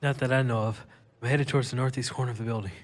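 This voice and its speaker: A man's voice: mid-range voice, steady tone, rapid-fire, close to an everyday voice. A man playing a cocky, wisecracking fugitive with a mechanical tail in an audio drama, slangy and flippant. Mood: urgent. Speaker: lead character and narrator. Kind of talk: first-person audio drama narration and dialogue. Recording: long reverb, clear background noise.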